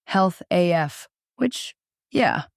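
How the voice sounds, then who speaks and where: Young female superfan sleuth, sardonic, lower pitch, excitable, audio drama, very dry. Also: very slow, vocal fry, no room sound, full character voice. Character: hyped, snarky young female fan sleuth.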